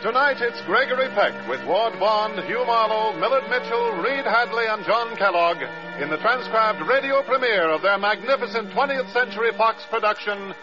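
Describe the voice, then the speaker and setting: A man's voice: high-pitched, animated, moderate pace. A man announcing in a grand, formal, dramatic old-time radio style. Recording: muffled old radio recording, loud music.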